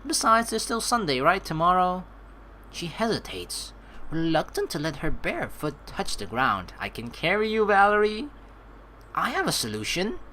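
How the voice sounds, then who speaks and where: A young woman doing let's play commentary, reading game text aloud in an animated, playful, blunt and casual way. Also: lowish voice, normal speed, a little hiss or hum, rough recording.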